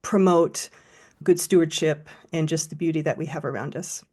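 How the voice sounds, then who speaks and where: Woman from the us chatting on a podcast, a bit low-pitched, reflective. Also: normal speed, dark tone, slightly rough. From United States. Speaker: guest. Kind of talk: casual interview chat.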